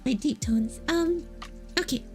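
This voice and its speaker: A woman's voice: high-pitched, measured pace, soft background music. A woman streaming as a VTuber, chatting casually and answering would-you-rather questions. She talks in a playful, giggly way and teases her chat.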